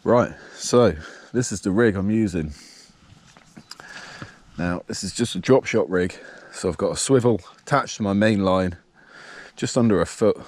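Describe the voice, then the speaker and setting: A man with a blocked nose and a slightly nasal voice, casually talking through his fishing outdoors by a dam in a relaxed, explanatory way. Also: fairly low pitch, unhurried, no room sound. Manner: relaxed, explanatory, thinking aloud. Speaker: fishing vlogger. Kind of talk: casual outdoor vlog narration.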